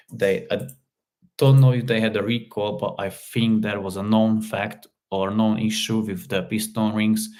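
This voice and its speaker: Casting: low-pitched man from Slovakia, Hungarian native speaker, halting casual live-stream host who stops and restarts often. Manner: halting, repeats words, many fillers. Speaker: live stream host, car repair hobbyist. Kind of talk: casual live stream chat reading comments.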